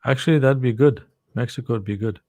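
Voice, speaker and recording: A man co-hosting a casual livestream, relaxed and agreeable, reading chat comments aloud. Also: medium pitch, quick pace, a little hiss or hum. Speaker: livestream co-host.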